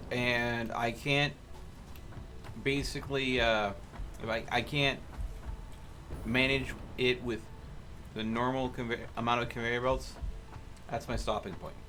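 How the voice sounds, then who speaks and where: A man's voice: medium pitch, sharp tone, slight background noise. A man in casual gameplay chat with co-players, thinking aloud and explaining as he goes. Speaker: gaming streamer, host.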